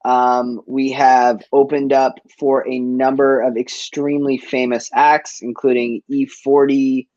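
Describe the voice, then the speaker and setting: A man's voice: mid-range voice, flat delivery, unhurried, hardly any pauses, a little mellow, no echo, muffled recording. A man from Boston in a casual interview, telling stories as a guest in informal, slangy speech peppered with "like". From East Boston, USA. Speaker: interview guest, chef and business owner. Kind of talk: casual conversational storytelling.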